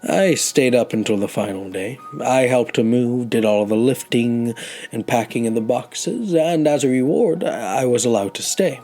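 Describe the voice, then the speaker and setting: Guy playing an older humble man in an audio drama, grateful, halting storytelling, average pitch, music behind him. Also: lively intonation, mellow, natural voice. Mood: wistful, humble.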